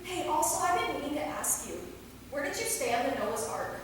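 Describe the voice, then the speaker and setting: A woman's voice: high voice, very animated, a little fast. A woman playing a flippant, sarcastic young vampire who teases in slang during a staged comedy reading. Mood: teasing. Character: cheeky, flippant young female vampire from Wisconsin. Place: theater, recorded from a distance. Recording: very echoey hall, far mic, clear noise.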